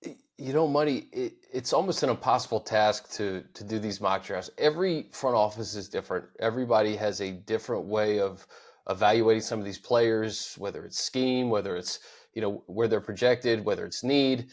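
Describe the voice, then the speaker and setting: A man's voice: on the low side, normal speed. A middle-aged man hosting a sports podcast, talking thoughtfully in a casual chat with frequent restarts and repeated words. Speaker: podcast host.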